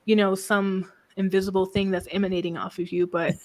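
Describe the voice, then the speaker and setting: Woman, soft voice, calm, reflective, conversational coach. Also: mid-range voice, a little fast. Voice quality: soft-spoken. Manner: calm, reflective, frequent "right?" tags. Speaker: business coach for women. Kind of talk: casual conversational interview.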